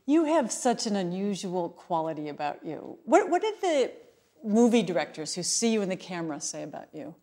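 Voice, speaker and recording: A woman's voice: medium pitch, sing-song, moderate pace, dark tone. A woman asking an interview guest short, direct questions.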